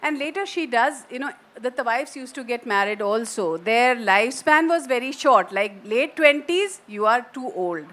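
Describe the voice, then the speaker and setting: North Indian woman author, high voice. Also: expressive, normal speed, few pauses, a bit bright. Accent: North Indian English. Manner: thoughtful, digressive, many restarts. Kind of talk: literary festival panel talk. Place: festival stage.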